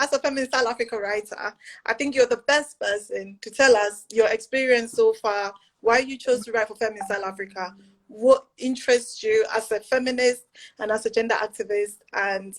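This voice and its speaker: A woman's voice: a little high, steady tone, moderate pace, low-quality recording. Friendly woman hosting a live stream. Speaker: host. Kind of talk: casual live-stream conversation.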